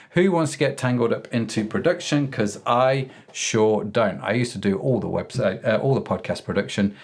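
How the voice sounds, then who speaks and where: An English man hosting a podcast interview in a chatty, lively way, reading a sponsor spot and talking with his guest. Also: mid-range voice. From England. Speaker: podcast host.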